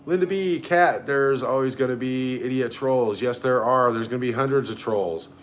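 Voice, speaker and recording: A man's voice: medium pitch, moderate pace. Blunt man live-streaming over background noise. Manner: blunt, sarcastic, sweary. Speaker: livestream host. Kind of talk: casual live-stream chat, reading out viewer comments and reacting.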